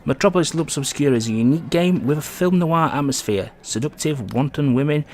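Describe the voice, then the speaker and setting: A man's voice: fairly high pitch, fairly quick. A man hosting a casual video game review, talking in a conversational, loosely rambling way. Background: music.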